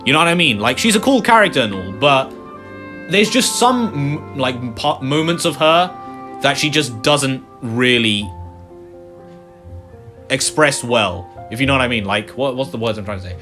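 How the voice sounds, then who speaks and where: A man's voice: mid-range voice, expressive, moderate pace, bright, forceful tone, faint music behind. A man giving casual, rambling gameplay commentary, full of fillers. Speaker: gaming youtuber.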